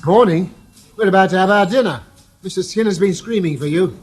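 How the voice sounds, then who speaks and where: An actor playing a dutiful, friendly police sergeant in a radio crime drama. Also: male voice, high voice, fairly quick, a little mellow, husky.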